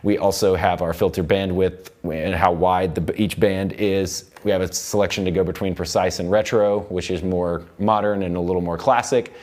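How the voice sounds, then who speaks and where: Low-voiced man giving a casual tutorial. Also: fairly even intonation, moderate pace, few pauses, darkish tone. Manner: informal, rambling explanations. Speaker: music production instructor. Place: indoor recording studio.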